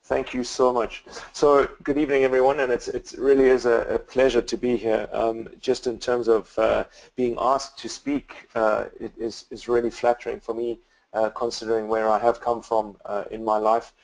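A man's voice: medium pitch, level tone, normal speed, creaky, a little mellow, poor audio. A middle-aged South African man with a South African accent, speaking as a guest photographer on a webinar from his office, hesitant with many fillers and restarts. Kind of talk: webinar presentation. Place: home office.

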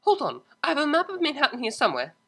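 A woman doing a prim, high-voiced pony cellist. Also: wildly swooping pitch, normal speed. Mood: alarmed. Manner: formal, precise wording. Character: prim, proper young mare cellist, worried.

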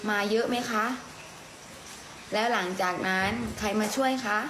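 Echoey room, background noise, woman, mid-pitched voice, coaxing questions, casual storytelling to a young child. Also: normal speed. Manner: coaxing, question-led, with polite particles. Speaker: mother prompting her young child.

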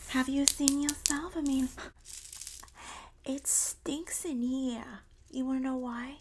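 A woman playing a sassy, supportive Jersey girl in a soft-spoken, whispered ASMR roleplay, with a New Jersey accent and a gossipy, soothing manner. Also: sits high, background noise. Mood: sassy, indignant. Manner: gossipy, flattering, soothing, drawn-out delivery. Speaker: ASMR performer.

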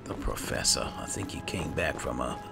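A man doing roleplay gameplay commentary, musing to himself in a halting way. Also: a bass voice, expressive, vocal fry. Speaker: gaming host, player. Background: game music.

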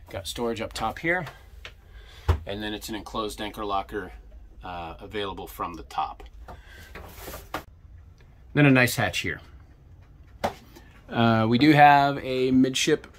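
A man in his fifties hosting a casual walkthrough tour of a sailboat, talking in a relaxed, enthusiastic way with lots of fillers, recorded on a handheld camera inside a small cabin. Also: mid-range voice, noisy background. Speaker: boat tour host. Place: small boat cabin, indoors. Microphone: handheld camera mic at arm's length.

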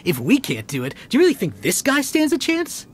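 A man's voice: very high-pitched, wildly swooping pitch, a little fast, slight background noise, exaggerated voice. A wary, doubtful bandit henchman. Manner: doubtful, cautious.

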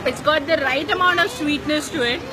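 A woman's voice: high voice, fairly quick. A woman in her thirties with an Indian English accent, enthusiastically hosting live coverage at an outdoor food festival with a handheld mic. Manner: enthusiastic, chatty, addresses viewers. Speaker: host. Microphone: handheld mic, phone camera close. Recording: festival music and crowd noise.

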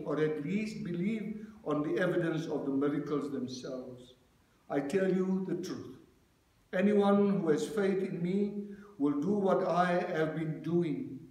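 A male minister preaches from a lectern in a measured, deliberate cadence. His voice sits fairly high and moves slowly. The church around him rings with a strong echo. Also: a little mellow. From South Africa. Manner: slow, measured reading cadence. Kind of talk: sermon with scripture reading. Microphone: lectern microphone, far camera. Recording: very echoey church room.

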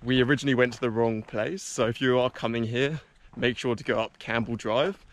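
A man's voice: medium pitch, steady tone, brisk, slight background noise. A man in his thirties with an Australian accent, vlogging casually outdoors on a camera held at arm's length, chatty and upbeat with joking asides. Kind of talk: casual hiking vlog. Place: outdoors on a bush trail and beach. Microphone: action camera held at arm's length.

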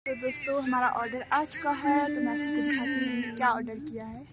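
A woman's voice: high voice, fairly even intonation, brisk, crowd noise. A young woman from India vlogging on a handheld camera, talking casually to her viewers as friends. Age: young adult. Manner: chatty, addresses viewers as friends. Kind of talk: casual travel vlog narration. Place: outdoors, cafes, shops and a bus.

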